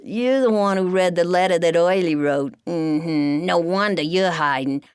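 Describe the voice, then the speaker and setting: A woman voicing Joey, a showy, fun-loving young male pack rat who calls out in a loud, sing-song showman style. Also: on the low side, measured pace, clean tone, dry recording, studio quality, close to an everyday voice. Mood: excited.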